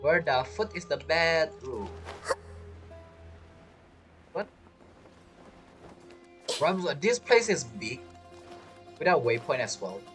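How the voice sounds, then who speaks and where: Gameplay commentator: man, very animated pitch, jokey and informal, soft game score behind. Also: slow pace, pauses often, soft background music. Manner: jokey, acts out imagined quotes, slangy. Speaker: game streamer.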